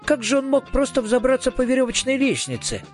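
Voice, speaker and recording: A man's voice: very high-pitched, very animated, fairly quick, soft background music, pitched above the performer's own voice. A man voicing a questioning, insistent little girl in a storybook reading. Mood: sceptical. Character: little girl, sceptical, insistent pony-loving younger sister.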